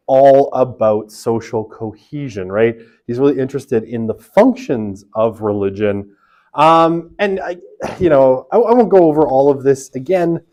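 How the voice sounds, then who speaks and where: A man teaching a university sociology lecture in a classroom through a room microphone, casual and chatty, with a big voice, lots of fillers and tag questions. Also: medium pitch. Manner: self-described big, loud voice. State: half asleep from early rising. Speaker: sociology instructor.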